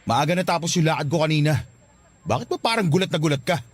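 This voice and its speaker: Casting: domineering jealous boyfriend, Filipino accent, scornful, widely swinging delivery, radio drama. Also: male voice, fairly high pitch, lively intonation, fast talker, no room sound. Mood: curt, suspicious. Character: jealous, bullying, controlling young boyfriend. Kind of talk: Tagalog radio drama acting. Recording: music bed behind the voice.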